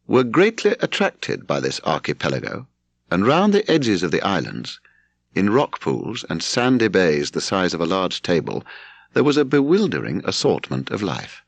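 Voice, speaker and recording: A low-voiced British man narrates a literary memoir with a gravelly edge. His delivery is measured and expressive, with pitch that moves freely as he tells the story. The recording is close and dry. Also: dry recording. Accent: British English. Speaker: audiobook narrator.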